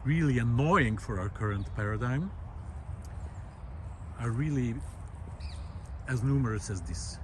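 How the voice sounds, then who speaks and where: A man, musing aloud in a walk-and-talk video. He talks reflectively and often pauses with "uh". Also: mid-range voice, expressive, a little hiss or hum. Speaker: video essayist. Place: outdoor park lawn. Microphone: handheld camera while walking.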